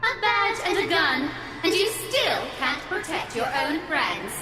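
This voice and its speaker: High, echoing, taunting female apparition. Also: big pitch swings, heavily acted. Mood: contemptuous. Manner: scornful, drawn-out taunting. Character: mocking ghostly female voice, cruel taunting apparition. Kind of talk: visual novel voice acting. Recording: echoing reverb, distant, music underneath.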